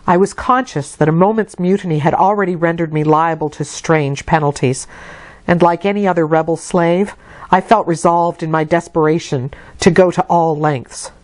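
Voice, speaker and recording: A woman storyteller reading a classic novel aloud in an expressive literary narration. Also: on the low side, moderate pace, no echo.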